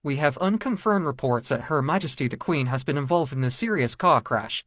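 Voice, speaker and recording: A man's voice: medium pitch, expressive, a little fast, fairly bright tone, no room sound, studio quality. A man playing a TV continuity announcer, formally and apologetically interrupting with grave news. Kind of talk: amateur mock emergency broadcast announcement.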